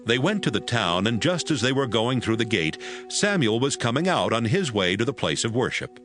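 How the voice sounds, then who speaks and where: A man narrating scripture from a script in a measured storytelling manner. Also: mid-range voice, big pitch swings, music in the background.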